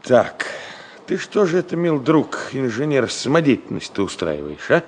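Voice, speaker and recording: A gruff, fatherly senior engineer played by a man in a Soviet radio play. His mid-pitched delivery is folksy and ironic, chiding and teasing with rhetorical questions. There is light noise on the old recording. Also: sing-song, moderate pace, close to an everyday voice. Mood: stern, sarcastic. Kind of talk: Soviet radio drama acting.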